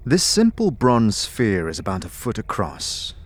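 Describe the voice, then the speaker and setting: A man giving scripted fantasy narration in the second person, as a measured, dramatic storyteller. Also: lowish voice, huge pitch swings, a little hiss or hum.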